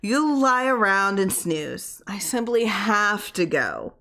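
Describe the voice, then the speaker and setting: A woman voicing a cheerful, doting wife in a story, affectionate, brisk and coaxing. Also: medium pitch, very animated, pushed and bright, heavily acted. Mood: breezy, coaxing. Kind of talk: dramatized story reading.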